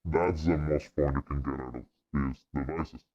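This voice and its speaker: A man using a voice changer's monster setting, chatting casually and haltingly while he plays a game. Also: fairly even intonation, darkish tone. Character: deep monster voice made by voice changer. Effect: pitch-lowering voice changer, monster setting. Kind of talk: casual gaming review chat. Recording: dull, muffled processed audio.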